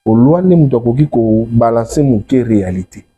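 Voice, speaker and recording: A man's voice: mid-range voice, animated, a little fast, warm tone, breathy edge. A man from the Congo with a Congolese accent, explaining his religion as an interview guest and restating key words. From Democratic Republic of the Congo. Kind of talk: explanatory interview talk, mixing French and Lingala.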